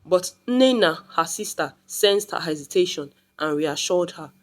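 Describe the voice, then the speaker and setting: A woman's voice: fairly low pitch, expressive, unhurried. A woman reading a scripted folktale as a storyteller.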